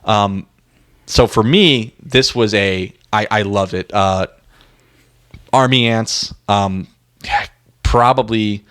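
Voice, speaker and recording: Low-voiced man in opinionated music chat. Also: takes it slowly, long pauses, fairly bright tone, clean tone. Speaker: music podcast co-host.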